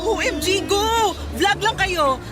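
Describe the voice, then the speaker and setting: A woman's voice: very high voice, lively intonation, slow pace, over loud music, over a noisy crowd, exaggerated voice. An actress playing a young, perky, proud female eatery owner in a Tagalog radio drama. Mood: excited, proud.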